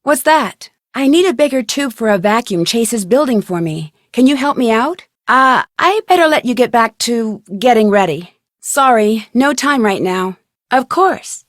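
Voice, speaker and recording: An actress playing a polite, inquisitive young woman detective in a video game, speaking in a clear, friendly, conversational way. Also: fairly high pitch, expressive, normal speed, dry recording, clean studio sound, close to an everyday voice. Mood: mildly wry. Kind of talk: video game dialogue acting, isolated conversational lines.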